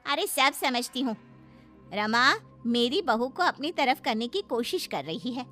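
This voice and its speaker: Cartoon mother-in-law, female actor: middle-aged, jealous and bossy, with a sharp, forceful, high voice. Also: big pitch swings, unhurried, soft background music, heavily acted. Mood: indignant. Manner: bossy, complaining. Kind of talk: Hindi moral-story cartoon dub.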